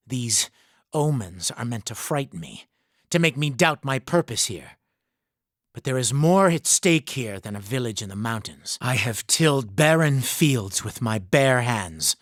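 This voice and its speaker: An actor playing a proud, devout and haunted mercenary commander in a fantasy video game, speaking in a grave, formal, accusatory manner. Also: male voice, medium pitch, expressive, measured pace, a bit bright, dry recording, clean studio sound. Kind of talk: dramatic fantasy game voice acting.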